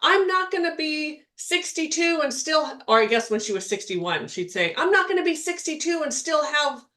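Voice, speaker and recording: A woman's voice: sits high, very animated, normal speed, sharp tone. A middle-aged woman co-hosting a podcast, talking in a chatty, rambling way with frequent restarts and laughs. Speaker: podcast co-host, spiritual healer. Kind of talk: casual chat.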